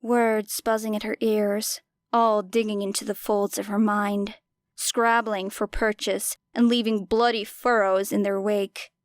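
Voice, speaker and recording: A woman's voice: medium pitch, flat delivery, measured pace, dry recording. A woman reading scripted fiction as an audiobook narrator, with dramatic delivery.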